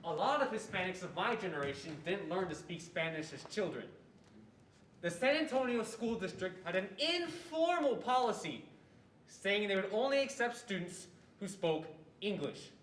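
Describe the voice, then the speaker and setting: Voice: mid-range voice, big pitch swings, moderate pace, fairly bright tone, slight background noise. A young performer playing a matter-of-fact narrator who explains history in a scripted stage reading. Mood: explanatory. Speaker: student reader in a reader's theatre performance. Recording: echoey room, distant mic.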